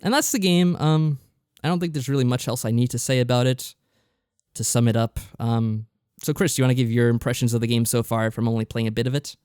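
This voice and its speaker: A man's voice: medium pitch, fairly even intonation, fast talker, darkish tone, smooth, dry recording. Man, podcast co-host, casual review chat, matter-of-fact, explanatory, dry sound.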